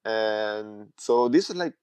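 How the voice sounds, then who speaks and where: Man, lowish voice, dry close sound, casual tech explainer, halting filler-heavy delivery. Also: expressive, darkish tone, clean tone, no echo. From Chile. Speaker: tech company CTO, podcast guest.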